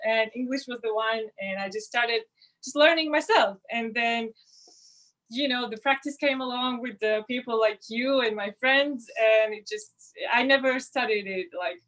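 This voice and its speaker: A young adult woman, a ballerina guest on an online interview, chatting with many fillers and pauses. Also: a little high, a bit bright, low-quality recording. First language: Georgian. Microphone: video call.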